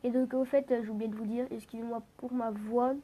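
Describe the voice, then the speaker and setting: A woman's voice: fairly high pitch, level tone, brisk, warm tone, poor audio. A teenage girl with a head cold, talking a bit through her nose, rambling casually over a game with lots of fillers and restarts. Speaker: gaming vlogger.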